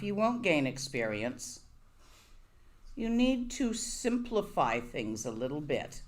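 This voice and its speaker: A woman giving a Buddhist dharma talk to a live audience, conversational and wry, often asking her listeners questions. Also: mid-range voice. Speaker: Buddhist teacher.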